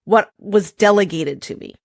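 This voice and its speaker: A woman's voice: mid-range voice, moderate pace, no room sound, clean studio sound. A woman co-hosting a casual podcast, joking and self-deprecating, often restarting her sentences. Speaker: podcast co-host.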